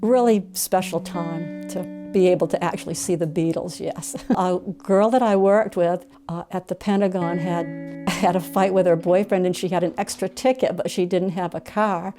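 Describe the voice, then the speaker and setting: Lowish-voiced older woman with guitar behind. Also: fairly even intonation, normal speed, dark tone. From Maine, USA. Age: 70s. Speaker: grandparent being interviewed. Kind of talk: personal reminiscence. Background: acoustic guitar music.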